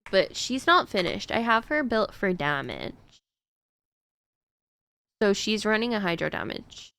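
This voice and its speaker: A woman's voice: mid-range voice, big pitch swings, a little slow, vocal fry, bright, forceful tone, no room sound. A woman streaming a game, chatting casually to her viewers in a rambling way with frequent fillers. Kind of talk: casual chat while gaming.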